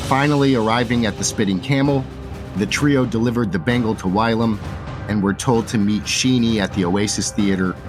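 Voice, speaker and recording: A man's voice: lowish voice, moderate pace, music in the background. A man hosting a tabletop roleplay show as dungeon master, opening with an upbeat announcer-style intro and then narrating a story recap.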